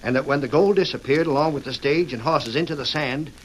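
Radio western: male actor as deferential, smooth-lying cowhand outlaw, fairly high voice, vintage hiss. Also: brisk, dull audio, natural voice. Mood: sly, satisfied. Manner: folksy drawling cowboy grammar. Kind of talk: old-time radio western acting. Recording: noisy vintage radio recording.

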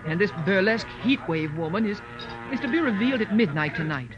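A woman's voice: mid-range voice, expressive, fast talker, music in the background, natural voice. An actress playing a haughty, commanding rich society woman in 1940s radio drama style. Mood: indignant, bitter. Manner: crisp, commanding, disdainful. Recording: dull, noisy old radio recording.

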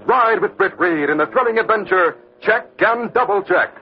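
A man's voice: high voice, moderate pace, a little hiss or hum. A man announcing and narrating an old-time radio thriller in a declamatory, urgent, scripted style. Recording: muffled old radio recording.

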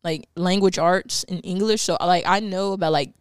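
A woman's voice: on the low side, moderate pace, smooth, no room sound, clean studio sound. A woman chatting casually, talking with frequent "like" and restarts. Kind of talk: casual chat.

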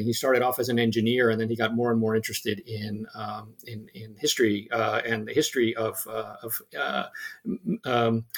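A man's voice: medium pitch, fairly bright tone. A middle-aged man with an American accent answers interview questions as a history professor, talking in a hesitant way with many fillers and restarts. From United States (New Jersey). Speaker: history professor, podcast guest.

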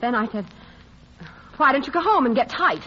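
An actress playing a gentle, guarded woman reunited with a lost love in a classic radio drama, halting yet playful. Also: high-pitched, expressive, slight background noise. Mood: playful, rueful. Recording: muffled old radio broadcast, music behind.

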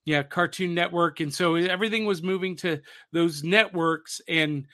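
A man co-hosting a podcast in casual, nostalgic pop-culture chat, often pausing to search for his words. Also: high voice, bright, forceful tone. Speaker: podcast co-host.

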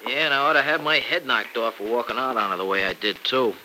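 A man playing an earnest, conflicted young married man in a 1940s radio soap, speaking emphatically and haltingly in colloquial phrases. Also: mid-range voice, animated, sharp tone. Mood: friendly, sheepish. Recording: noisy vintage radio drama recording.

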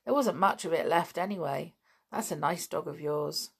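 A pale, polite boy who asks too many careful questions, his voice low and slightly gravelly, as a woman reads him aloud. The pace is slow and the tone faintly husky. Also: full character voice. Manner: polite and inquisitive.

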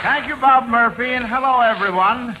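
A man's voice: very high-pitched, normal speed, highs cut off, over a noisy crowd. An American man hosting an old-time radio quiz show in a genial showman's manner. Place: university auditorium. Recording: hissy, noisy vintage radio broadcast.

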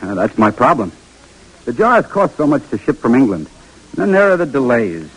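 Earnest husband, husky and expressive. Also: male voice, medium pitch, normal speed, rough recording. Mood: earnest, eager. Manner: earnest, dramatic. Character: earnest, struggling young husband and food-preserving entrepreneur. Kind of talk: 1940s radio drama acting.

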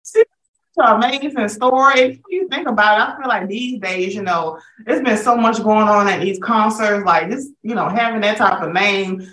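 A woman's voice: medium pitch, moderate pace, a bit bright. A woman hosting a casual interview, chatting in slang and affirming what her guest says. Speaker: interviewer, host. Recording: roomy, echoing voice pickup.

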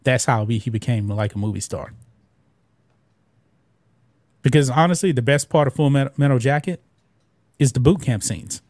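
Mellow-voiced man chatting on a podcast. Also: medium pitch, normal speed, pauses often, no echo. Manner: chatty, digressive, trails off with fillers. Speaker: podcast co-host. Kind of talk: casual chat.

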